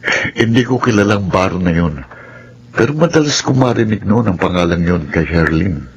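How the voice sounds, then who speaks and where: A man's voice: sits low, expressive, normal speed, breathy edge, muffled recording, full character voice. An actor playing a puzzled, hesitant young man in a radio drama. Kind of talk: Filipino radio drama acting.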